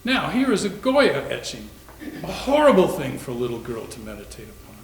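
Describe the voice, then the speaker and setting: A man reading the part of an excited, intrigued young man in a readers theater drama. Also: fairly high pitch, fairly quick. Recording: echoey room with faint noise.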